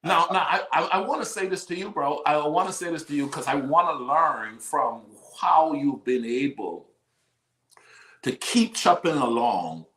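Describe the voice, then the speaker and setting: Animated Bahamian man telling stories. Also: a little high, normal speed, echoey room. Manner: animated storyteller, preacher cadence. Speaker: host. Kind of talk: faith-based conversation.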